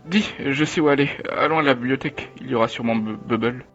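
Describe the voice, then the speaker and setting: A man's voice: mid-range voice, quick pace, highs cut off, over music, close to an everyday voice. A man voicing a kind, nervous teenage schoolboy who stammers and hesitates, in an amateur cartoon dub. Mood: shy, tender.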